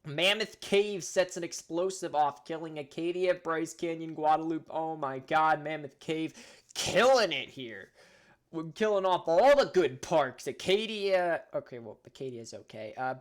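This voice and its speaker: A man with a northern US accent, casually reading out simulated game events with offhand jokes and stumbles over names. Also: a little high. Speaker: gaming channel host.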